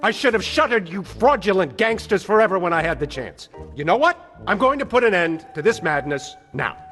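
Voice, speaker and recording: Pompous, vindictive bureaucrat, high-voiced. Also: male voice, very animated, fairly bright tone, in a large hall, faint music behind. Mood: vindictive, outraged. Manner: pompous, scornful, deliberate. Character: smug, vindictive middle-aged male government bureaucrat.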